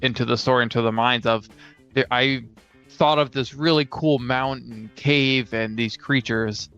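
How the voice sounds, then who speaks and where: A man's voice: medium pitch, steady tone, measured pace, fairly bright tone, clean tone. A man co-hosting a casual discussion of tabletop role-playing games, on a lo-fi recording. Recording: dull lo-fi sound, music underneath.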